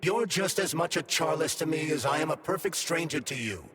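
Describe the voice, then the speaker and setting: A man's voice: fairly high pitch, expressive, a bit bright, slightly rough, in a large hall. A man playing a sentient glove that holds a dead scientist's mind, formal and coolly vengeful, in a video game cutscene. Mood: cold, candid. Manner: articulate, formal, measured explaining.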